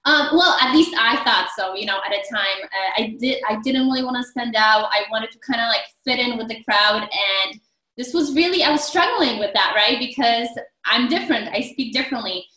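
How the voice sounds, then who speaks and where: Bright-voiced young woman with a Polish accent. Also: on the high side, normal speed, roomy sound, rough recording. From Poland. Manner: earnest, encouraging. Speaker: alumni mentor. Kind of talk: casual advice on a video call.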